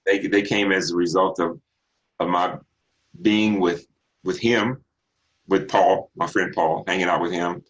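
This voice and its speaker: A middle-aged man from Texas with a bass baritone voice, a singer answering interview questions in an unhurried, reflective way with many ums and restarts. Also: fairly even intonation, muffled recording. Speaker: singer guest.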